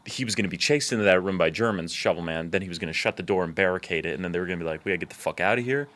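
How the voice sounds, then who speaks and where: A man's voice: low voice, rapid-fire, a bit bright. A man giving casual, unscripted commentary as a filmmaker, with a blunt, informal, sweary way of talking.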